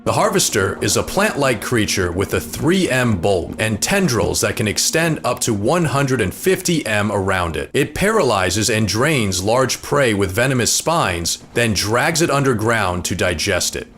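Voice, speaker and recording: A man's voice: fairly low pitch, a bit bright. A man narrating a scripted explainer in the manner of an online video essay. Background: music.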